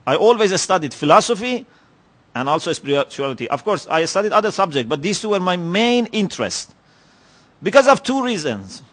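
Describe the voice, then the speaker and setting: A man's voice: high-pitched, big pitch swings, fairly bright tone. Reflective man lecturing. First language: Persian. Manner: measured, reflective, with many pauses and "you know" fillers. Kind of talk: religious lecture. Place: lecture hall.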